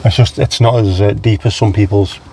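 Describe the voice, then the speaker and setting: A man's voice: fairly low pitch, steady tone, a little fast, mellow, breathy edge. A man with a mild Scouse accent, chatting casually on a livestream and answering viewers by name in a rambling way. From England. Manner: chatty, rambling, reads out chat names and answers them. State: low on energy. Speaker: livestream host.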